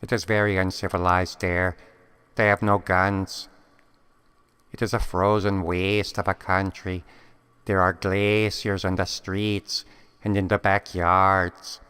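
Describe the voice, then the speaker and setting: A man voicing a scheming, self-important female cartoon cat, telling the story in a solemn, grandiose deadpan as a scripted monologue. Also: sits low, clear, resonant tone. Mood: disdainful. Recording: long cavernous reverb.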